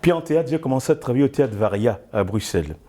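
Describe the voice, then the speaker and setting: A man in his fifties from the Democratic Republic of the Congo, a guest talking about his acting career in a relaxed interview, often chuckling as he tells his story. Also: medium pitch. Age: 50s. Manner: chuckles often, speaks in measured storytelling phrases. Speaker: actor and theatre director, guest.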